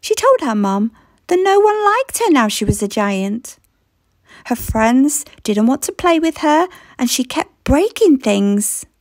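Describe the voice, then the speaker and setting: A woman narrates a children's storybook with plenty of expression. Her voice is high and very melodic, and she reads slowly in a close, dry sound. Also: big pitch swings, no room sound.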